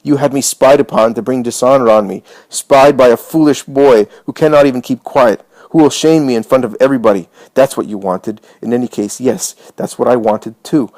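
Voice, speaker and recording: A man reading aloud voices a furious, scornful male traitor, hoarse and panting as he shouts accusations. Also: mid-range voice, a little fast, few pauses, no room sound, lightly acted.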